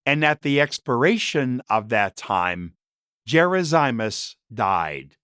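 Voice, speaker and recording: A man reading a story as a narrator, in a measured and expressive scripted style. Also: medium pitch, dry recording, clean studio sound.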